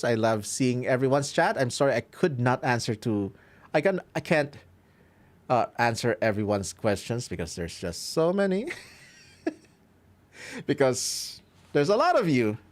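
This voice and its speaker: Upbeat Filipino man signing off a stream. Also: a little high. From Philippines. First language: Filipino language. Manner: chatty, upbeat, chuckles often. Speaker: streamer and violinist. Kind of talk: casual livestream sign-off to chat.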